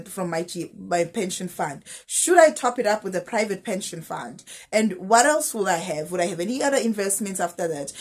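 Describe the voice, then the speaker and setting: Husky woman giving advice. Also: mid-range voice. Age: 30s. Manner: direct, advising, piles up rhetorical questions. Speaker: teacher and finance vlogger. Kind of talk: casual money-advice vlog.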